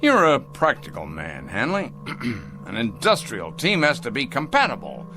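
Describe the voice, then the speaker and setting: An actor playing a cold, pompous factory boss in a radio drama, with a theatrical, condescending manner. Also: male voice, medium pitch, wildly swooping pitch, measured pace, creaky, bright, forceful tone, faint music behind, exaggerated voice.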